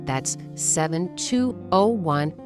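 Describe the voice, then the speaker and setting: A woman's voice: low voice, expressive, takes it slowly. A woman reading an advertisement in a welcoming, carefully enunciated sales delivery. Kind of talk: advertisement read. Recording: music behind the voice.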